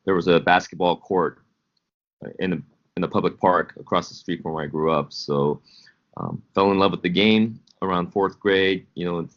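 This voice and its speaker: A man in his forties, raised in South Korea, who coaches high school basketball, answering interview questions in a halting, reflective way with many repeats. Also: lo-fi sound.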